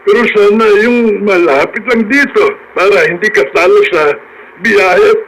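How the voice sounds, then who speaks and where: Brief: kindly elderly Filipino lolo in radio drama, faltering phrasing, raised pitch, faint noise. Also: male voice, normal speed, fairly bright tone. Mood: concerned. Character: kindly old grandfather, hesitant and caring. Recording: noisy radio drama broadcast.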